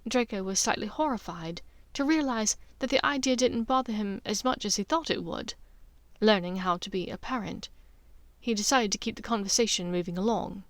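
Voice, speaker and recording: A woman's voice: medium pitch, dry recording. A woman reading scripted fiction as an audiobook narrator.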